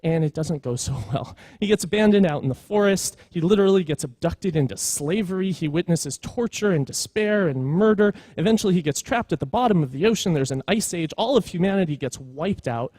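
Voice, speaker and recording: A man in his thirties speaking at a conference in a conversational way, pausing deliberately for emphasis. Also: high-pitched, lively intonation, a little mellow, no room sound. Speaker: conference speaker, storytelling professional. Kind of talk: informal conference talk.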